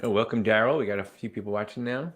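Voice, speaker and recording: A man with a low voice co-hosts a casual livestream. He asks short, easygoing questions and welcomes viewers as they arrive. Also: fast talker. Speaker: livestream co-host.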